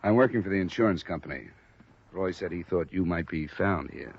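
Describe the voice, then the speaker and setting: An actor with an American accent playing a businesslike, unruffled insurance investigator in an old radio detective drama, speaking in a clipped way. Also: male voice, low-pitched, expressive, a little fast, full character voice. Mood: firm. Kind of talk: 1940s-50s American radio detective drama acting and narration. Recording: dull vintage radio recording with clear music.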